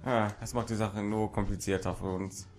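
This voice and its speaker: Low-voiced German man commenting on a game. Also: level tone, a little fast, a little mellow. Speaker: let's play host. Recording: music behind the voice. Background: video game music.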